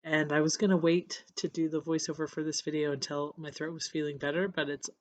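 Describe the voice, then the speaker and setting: Hoarse, scratchy-voiced painter with a cold. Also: mid-range voice, flat delivery. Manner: casual, halting, with frequent restarts. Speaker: encaustic painter. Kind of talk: voiceover narrating a painting process. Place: voiceover recorded apart from the footage.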